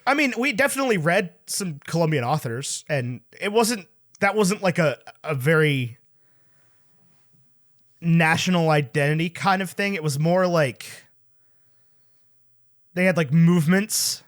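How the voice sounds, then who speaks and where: A man's voice: a little high, unhurried, pauses often. A man co-hosting a casual movie review podcast, talking in a playful, rambling way as he loses his voice. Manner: animated, playful, rambling with restarts. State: losing his voice. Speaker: podcast co-host. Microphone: podcast mic.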